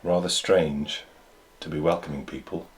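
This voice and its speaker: A man's voice: rumbling low, steady tone, moderate pace, slight background noise. A man co-hosting a casual home chat show, joking and teasing his co-host.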